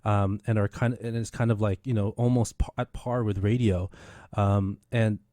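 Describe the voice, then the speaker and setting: A man's voice: lowish voice, fairly even intonation, moderate pace, dark tone, no echo. A man hosting a casual radio interview in an enthusiastic, encouraging way. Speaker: radio show host.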